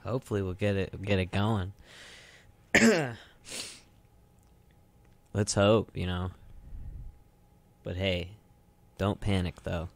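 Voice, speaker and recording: Low-voiced young American man. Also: slow pace, gravelly, pauses often, no room sound. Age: young adult. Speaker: college radio co-host. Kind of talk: casual chat. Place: college radio studio.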